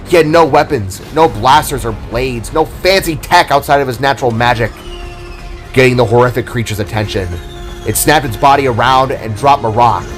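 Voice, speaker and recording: A man narrating a scripted story in a dramatic style. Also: medium pitch.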